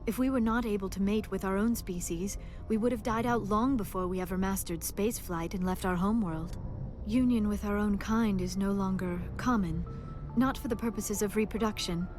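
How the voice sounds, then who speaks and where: A woman playing a gentle, scholarly female alien in a video game, speaking in formal, measured and precise phrases. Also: mid-range voice, soft background music, close to an everyday voice. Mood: earnest, reflective. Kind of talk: video game dialogue acting.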